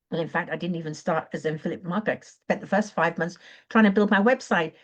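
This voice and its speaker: A woman coaching on a live stream, her voice croaky and her throat often clearing, talking in an earnest, encouraging way and now and then correcting herself. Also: mid-range voice, highs cut off. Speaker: online business coach. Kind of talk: live-streamed training talk.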